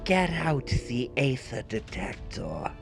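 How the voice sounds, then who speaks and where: A man's voice: medium pitch, very animated, takes it slowly, creaky, over music, heavily acted. A man playing a curt, suspicious soldier in an audio drama, officious in manner. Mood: suspicious, demanding.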